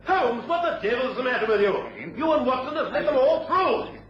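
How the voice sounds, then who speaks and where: A man playing a blustering, bossy country squire shouting orders in a radio drama. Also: very high voice, animated, fairly quick. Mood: irate. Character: Sir Rodney, blustering, irate, bossy country squire shooting host. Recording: lo-fi, roomy radio recording, crowd behind.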